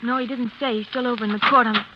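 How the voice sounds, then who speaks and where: A woman's voice: fairly high pitch, fairly even intonation, quick pace, close to an everyday voice. An actress playing an anxious young switchboard operator with an American accent, in 1940s radio style. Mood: businesslike. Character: anxious, confiding young female office operator. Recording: muffled, faintly noisy vintage radio recording.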